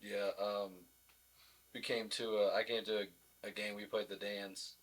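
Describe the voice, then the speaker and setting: Young man, athlete guest, low-leaning voice with little pitch movement, relaxed interview chat. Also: a little slow, low-quality recording. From United States. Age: young adult.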